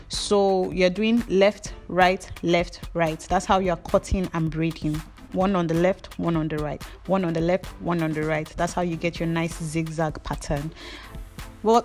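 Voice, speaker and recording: Animated Nigerian woman, chatty storyteller. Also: fairly low pitch, soft background music. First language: Yoruba. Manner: animated, playful, jumps between slow instructions and gossipy asides. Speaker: hair tutorial vlogger. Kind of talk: chatty storytelling over a hair tutorial.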